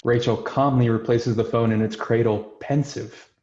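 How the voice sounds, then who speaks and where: A man's voice: mid-range voice, fairly even intonation, moderate pace, a little mellow. Man narrating in a roomy space. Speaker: host and stage-direction narrator. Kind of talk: scripted introductions and screenplay narration. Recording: roomy, dull lo-fi sound.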